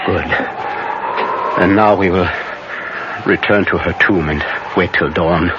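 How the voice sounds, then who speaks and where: An actor playing a commanding older professor who hunts vampires, speaking urgently and solemnly in halting instructions in an old-time radio drama. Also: male voice, sits low, fairly even intonation. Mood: grave, instructing. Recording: muffled, very noisy old radio recording.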